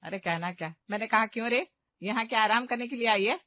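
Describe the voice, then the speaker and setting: A woman voicing a haughty, demanding middle-aged mother-in-law in a cartoon, sharp-tongued, gossipy and sarcastic. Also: a little high, fast, no echo, dull audio, lightly acted. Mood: gossipy scorn. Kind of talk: animated moral-story cartoon acting.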